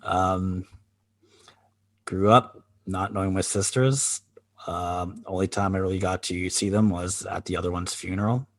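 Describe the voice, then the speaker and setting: Deep gravelly guy on a podcast, kinda halting, lots of ums, dry close mic. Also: fairly even intonation, no echo. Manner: halting, reflective, many ums and restarts. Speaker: podcast co-host. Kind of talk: candid conversational chat.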